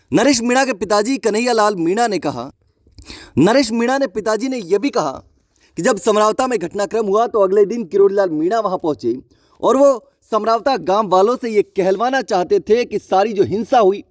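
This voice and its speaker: A man's voice: sits high, darkish tone, dry recording, clean studio sound. A man narrating online news commentary, speaking emphatically to viewers with repeated phrasing.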